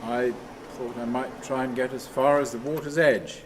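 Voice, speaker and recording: A man playing a restless, tormented ageing man in a radio play, halting, brooding and bitterly self-mocking. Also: mid-range voice, steady tone, natural voice. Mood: weary, subdued. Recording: echoey hall, noisy ambience.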